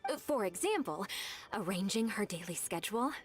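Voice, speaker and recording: Woman acting a lively, fussy young female assistant. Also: medium pitch, normal speed, soft background music, lightly acted. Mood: gushing. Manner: formal, meticulous, businesslike. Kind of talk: scripted video game voice acting.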